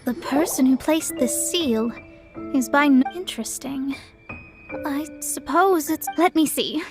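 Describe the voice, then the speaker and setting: A very high, girlish young woman astrologist, proud and theatrical, who stammers when flustered. Her delivery is that of an anime game character, with faint music underneath. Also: unhurried. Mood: composed.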